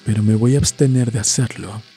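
Mellow-voiced man narrating a horror story. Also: on the low side, fairly even intonation, a little fast. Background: music.

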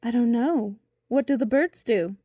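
Woman doing an eager, high-voiced little boy. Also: measured pace, dark tone, dry recording, clean studio sound. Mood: eager, pleading. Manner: eager, questioning. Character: small naive boy, eager and plucky.

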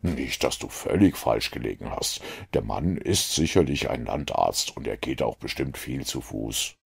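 A man voicing a calm, superior detective in an audiobook, measured and dryly condescending. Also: a bass voice, creaky, slightly rough, voice pushed down, full character voice. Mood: gently correcting.

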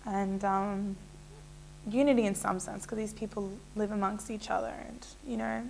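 Young woman, interviewee, casual rambling storytelling, gravelly voice, small room. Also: mid-range voice, fairly even intonation, moderate pace, darkish tone, a little hiss or hum, rough recording. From Israel. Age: young adult. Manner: casual, rambling, with many fillers and restarts. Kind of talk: candid personal storytelling. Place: small indoor room with a plain wall.

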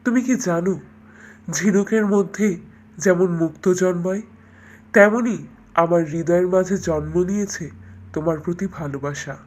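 Woman voicing an ardent young prince, lowered voice. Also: a little slow, long pauses, darkish tone. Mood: tender. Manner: poetic, earnest declarations. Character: brave young prince, ardent romantic lover.

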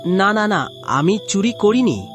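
A man's voice: high voice, very animated, takes it slowly, over music, full character voice. A man voicing a humble, hopeful gardener in a cartoon moral tale, with an earnest, deferential way of talking. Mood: alarmed.

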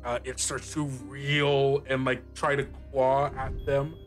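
A man improvising narration as a game master, casual and halting, with lots of filler words. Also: medium pitch, little pitch movement. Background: music bed.

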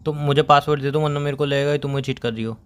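A young man speaks at a rapid clip in a casual couple chat. His manner is insistent and teasing, as a boyfriend pressing his point. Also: a little high, steady tone. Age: young adult. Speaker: boyfriend on a phone call.

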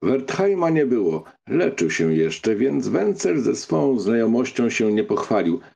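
Mellow, gravelly male audiobook narrator. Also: medium pitch, sing-song, a little slow. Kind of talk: scripted literary narration.

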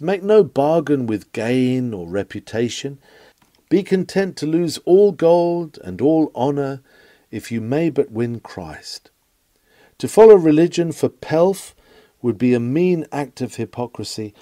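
Man, sermon reader, lively rise and fall, earnest, emphatic, oratorical, dry close sound. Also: medium pitch, no echo. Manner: emphatic, earnest, measured phrasing. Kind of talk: sermon read aloud, oratorical.

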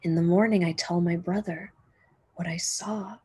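A woman in her thirties reading a children's picture book aloud like a teacher, with gentle, expressive phrasing. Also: on the low side, moderate pace, breathy edge.

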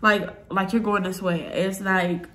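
A woman's voice: medium pitch, steady tone, measured pace, fairly bright tone. A young woman with a stuffy, nasal voice talks at home. She rambles on in a blunt, chatty, profane way while she does her makeup for a vlog. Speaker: vlogger. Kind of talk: casual makeup tutorial vlog.

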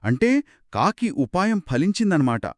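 A man's voice: high voice, very animated, measured pace, no room sound, clean studio sound. A man co-hosting scripted children's storytelling in dialogue, speaking in a reactive, theatrical way. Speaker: co-host storyteller.

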